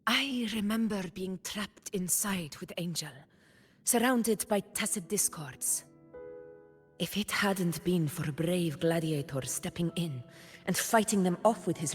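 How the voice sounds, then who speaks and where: A woman voicing a stoic, resolute warrior leader in a video game, solemn, measured and dignified. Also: on the low side.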